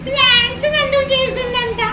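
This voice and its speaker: A woman's voice: very high voice, level tone, brisk. A woman voicing a cheeky, chatty little kid puppet in a live puppet show, teasing and sing-song. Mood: playful. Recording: echoey, distant, noisy hall.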